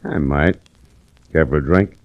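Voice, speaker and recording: A deep, shady garage owner. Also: male voice, a little slow, narrow, tinny audio. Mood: evasive. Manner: evasive, needling, mock-friendly. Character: shady male garage owner, evasive and needling. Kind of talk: 1940s radio drama acting. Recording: restored old radio broadcast.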